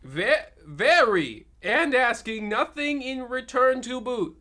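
Here is a haggard old witch, cranky and vengeful in a comic radio play. The lines are drawn out slowly and theatrically, and the pitch leaps about with every threat. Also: animated, very noisy. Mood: sweetly fussy.

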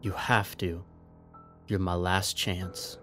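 Guy playing trapped soldier, resigned and earnest, lowish voice, audio drama w faint music. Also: level tone, takes it slowly, bright, forceful tone, exaggerated voice. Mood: resigned pleading. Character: Darren, resigned trapped soldier, gently persuasive.

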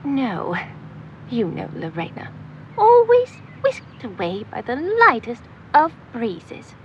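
A woman's voice: fairly high pitch, wildly swooping pitch, slow pace, highs cut off, slight background noise, heavily acted. A woman playing a sweet, dithery older neighbour lady with a genteel, quaintly formal way of talking in an audio drama. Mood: sweet, airy.

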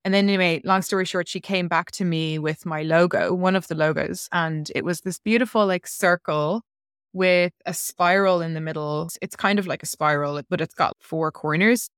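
A woman's voice: lowish voice, normal speed, clean tone, no echo, clean studio sound. A woman guest on a podcast telling a personal story in a chatty, rambling way, full of "like" fillers. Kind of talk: casual interview storytelling.

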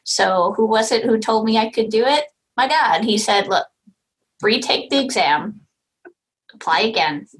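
A woman on a panel speaks about her background in law and policy. She tells her story smoothly, in an easy conversational flow. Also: a little high, animated, measured pace. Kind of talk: panel discussion answer.

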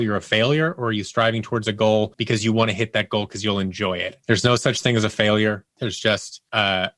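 A man's voice: fairly low pitch, a bit bright, no room sound, studio quality. A man talking casually as an interview guest, in a plainspoken, matter-of-fact way. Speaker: podcast guest, audio drama creator and voice actor.

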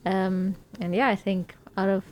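A woman's voice: medium pitch, steady tone, measured pace, a little mellow. A young woman from Romania with a Romanian accent, a guest chatting casually, answering in a dry, teasing way. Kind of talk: casual chat. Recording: faint background noise.

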